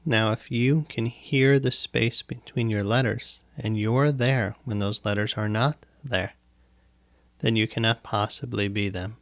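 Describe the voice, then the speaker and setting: A man guiding a meditation as a teacher, speaking in deliberate, instructive phrases with pauses and repetition, close to the microphone. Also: medium pitch, a bit bright, no room sound. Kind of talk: guided meditation, spoken instruction. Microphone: close microphone, dry sound.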